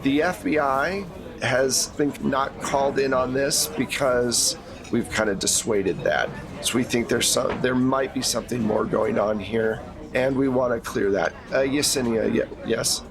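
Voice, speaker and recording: Slow-paced man running a tabletop game. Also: medium pitch, a crowd in the background. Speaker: game master. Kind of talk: improvised tabletop roleplay briefing.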